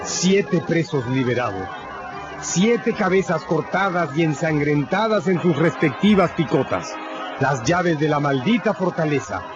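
Solemn male radio-drama narrator over loud music. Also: on the high side, animated, a little slow, dull audio.

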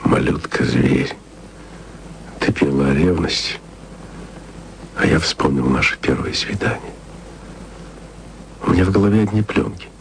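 An actor playing a lonely, wistful male radio archivist who speaks to an absent woman in a hushed, confiding way. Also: very deep voice, expressive, a little slow, long pauses, full character voice. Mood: musing. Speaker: lead in a radio play. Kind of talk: intimate radio drama monologue. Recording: noisy studio recording.

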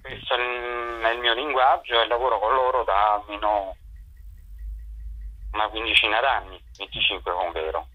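A man's voice: lowish voice, steady tone, moderate pace. Middle-aged man on a dull phone-like recording. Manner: hesitant, searching for words, explanatory. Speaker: theatre director and performer. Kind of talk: informal spoken interview answers. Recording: dull lo-fi telephone-radio sound.

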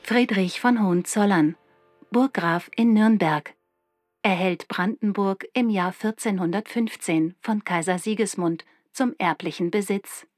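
A woman narrates in a clipped, matter-of-fact style for a radio play. Her mid-pitched voice sits over faint music. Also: a little slow, a little mellow. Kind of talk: scripted factual narration in German radio play.

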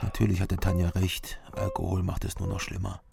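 An actor playing a weary, brooding detective who narrates a radio crime drama in terse, melancholy phrases with a gravelly voice. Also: male voice, deep voice, level tone, a little fast. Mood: brooding, bleak. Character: weary, brooding ex-border-guard detective narrating his story. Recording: loud music behind.

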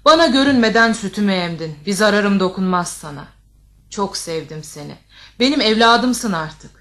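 A woman plays a giant ogress from a fairy tale. She is motherly and protective, yet commanding. Her mid-pitched voice gives measured, wise instruction. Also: animated, fairly bright tone, natural voice. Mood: welcoming, protective. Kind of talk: Turkish fairy-tale audio drama.

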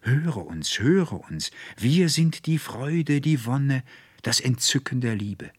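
Male reader as yearning enchanted nature spirits, storybook. Slow, drawn-out, breathy, beckoning with adoration. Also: mid-range voice, lively intonation, no room sound, clean studio sound, pitched above the performer's own voice. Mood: joyful rapture. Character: adoring, yearning spirits of flowers, fire, streams and birds.

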